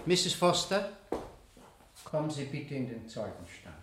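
An actor playing a relentless, aggressive prosecutor in a courtroom radio drama, pressing with theatrical rhetoric. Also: male voice, mid-range voice, big pitch swings, measured pace, noisy background. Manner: forceful rhetoric, pressing questions. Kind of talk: 1970 German courtroom radio drama acting. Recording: echoey room, 1970 radio production.

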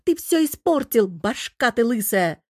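A woman's voice: high-pitched, big pitch swings, fairly quick, darkish tone, dry recording, studio quality, voice pushed up. A woman voicing a hot-headed, stubborn young woman who scolds shrilly and combatively in a story reading. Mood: shrieking fury.

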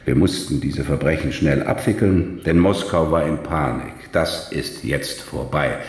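An actor in a German radio drama playing a stern, condescending KGB deputy director with a measured, officious manner. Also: male voice, extremely low pitch, reverberant. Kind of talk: German audio drama acting.

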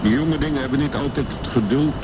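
An actor playing a worldly old private detective in a radio drama, deliberate, laconic and sardonic. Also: male voice, medium pitch, muffled recording, close to an everyday voice. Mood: wry, knowing. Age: older. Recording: clear background noise.

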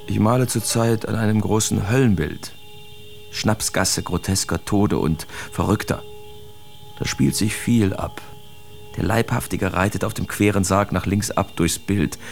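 A man's voice: on the low side, vocal fry, darkish tone. An actor playing a brash, cynical big-city painter declaiming his feverish letters in a dramatic radio reading. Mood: bitter, sardonic.

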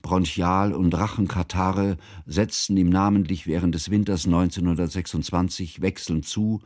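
An actor playing an earnest, scholarly narrator in a literary radio drama, speaking in a formal, measured, solemn way. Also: male voice, sits low, fairly even intonation, darkish tone, no room sound, clean studio sound, natural voice. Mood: solemn, concerned. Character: earnest, scholarly male narrator, devoted old friend.

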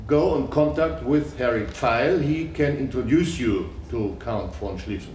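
A male witness answers courtroom questions plainly and factually. His voice is mid-pitched, and the echoey recording carries a faint hiss. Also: unhurried, a little mellow. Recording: echoey room with faint noise.

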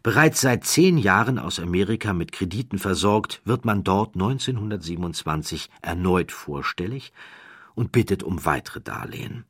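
Male audiobook narrator: low, slightly husky, measured and dramatic, recorded dry. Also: lively intonation, no room sound. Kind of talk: scripted narration of a historical true story.

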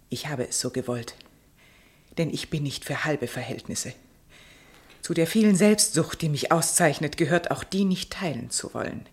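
An actress playing a proud, bitter forsaken wife in a German radio drama, husky-voiced, austere and deliberate. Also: female voice, rumbling low, heavily acted.

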